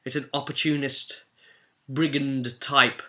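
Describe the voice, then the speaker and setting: Bright, forceful man telling a game story. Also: medium pitch, little pitch movement, slow pace. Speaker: host and game master recapping a role-play session. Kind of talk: animated storytelling recap to viewers.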